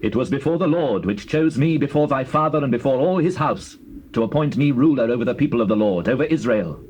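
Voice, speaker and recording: A man's voice: mid-range voice, lively intonation, brisk, darkish tone, breathy edge. An actor playing a proud, devout king of Israel in a scripture drama, earnest and declamatory. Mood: defiant, proud.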